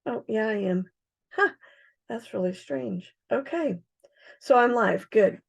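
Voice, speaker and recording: A middle-aged woman with a hoarse, froggy voice, chatting casually as she demonstrates craft supplies on a livestream, with many ums and uhs. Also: fairly high pitch, expressive, slow. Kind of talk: casual live product demonstration.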